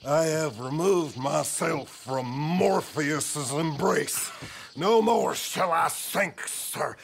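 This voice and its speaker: An actor playing a drunk, maudlin male reverend in a video game, husky and gravelly, repeating himself in grandiose preaching. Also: on the high side, extremely slow, full character voice.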